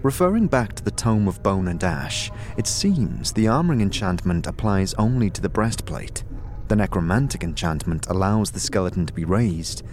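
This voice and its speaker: Casting: male narrator for fantasy audio drama, lower register, expressive range, faint music underneath. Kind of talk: scripted second-person fantasy narration.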